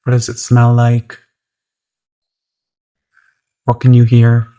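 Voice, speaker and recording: A meditation guide speaking gently and soothingly, unhurried, with long pauses between phrases. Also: medium pitch, monotone.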